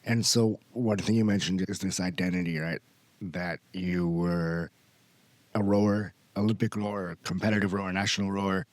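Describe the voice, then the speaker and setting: A man's voice: low voice, steady tone, normal speed, dry recording. A man with an American accent, hosting a podcast and asking probing follow-up questions. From United States. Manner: probing, restates guest's points. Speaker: podcast host. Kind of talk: interview questions.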